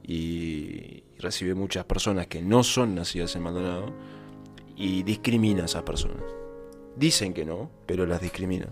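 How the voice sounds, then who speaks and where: Male co-host, low voice, hesitant delivery, faint guitar underneath. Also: moderate pace. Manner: hesitant, many fillers and restarts. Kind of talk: casual talk-show chat.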